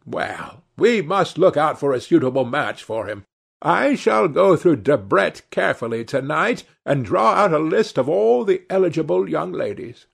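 A man's voice: on the high side, big pitch swings, normal speed, gravelly, husky, pitched above the performer's own voice, exaggerated voice. A man voicing a chatty older society hostess in a storybook reading, theatrical and exclamatory. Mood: chiding.

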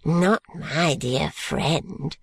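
A woman's voice: medium pitch, very animated, slow, gravelly, exaggerated voice. A woman voicing a breathless, wheedling and spiteful old male moneylender in a storybook reading. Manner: fawning endearments breaking into angry curses.

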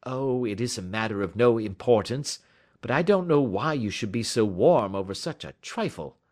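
A man voicing a cool, shrewd gentleman detective in an audiobook, with a polite, careless, lilting manner. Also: medium pitch, lively intonation, moderate pace, natural voice. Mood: careless, nonchalant. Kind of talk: audiobook character dialogue.

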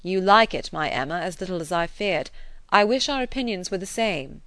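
A woman reading the lines of a grave, kindly gentleman in an audiobook, measured and earnest with a touch of gentle teasing. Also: fairly low pitch, expressive, no room sound.

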